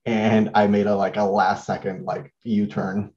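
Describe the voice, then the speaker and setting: A man's voice: fairly low pitch, dull audio. A man in his thirties from Mexico, chatting casually as an interview guest over a video call, with lots of fillers and restarts. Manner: relaxed, halting, many fillers and restarts. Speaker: guest artist.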